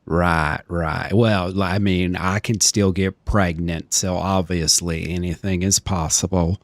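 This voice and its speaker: A man's voice: fairly even intonation, slow, no room sound. Irene, a man voicing an 82-year-old smoker lady. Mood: prim, earnest. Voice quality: deep, smoky voice. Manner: stammering, prim old-lady politeness. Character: woman of 82, chain-smoker, eager to remarry. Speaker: streamer playing a character. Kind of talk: roleplay.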